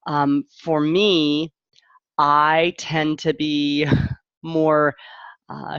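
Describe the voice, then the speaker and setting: A woman's voice: deep voice, dry recording, highs cut off. A middle-aged woman talking candidly and reflectively in a casual live stream as a parent coach, often interrupting herself.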